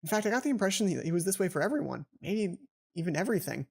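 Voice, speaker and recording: A man's voice: high voice, big pitch swings, rapid-fire, creaky, breathy edge. A young man hosting a let's play, reading a visual novel aloud and adding casual commentary. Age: young adult. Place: small indoor home room. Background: game music.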